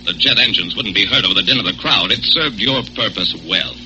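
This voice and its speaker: An actor playing a confident, commanding aviator hero in a 1940s radio adventure, assured and crisp. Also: male voice, lowish voice, a little fast, highs cut off, lightly acted. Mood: confident accusation. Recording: noisy old 1940s radio recording.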